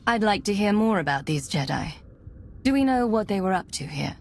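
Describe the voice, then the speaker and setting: A woman's voice: medium pitch, animated, moderate pace, creaky, darkish tone, a little hiss or hum. A composed, determined female agent. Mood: inquiring. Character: steady, determined female agent turned commander. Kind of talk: video game cutscene acting.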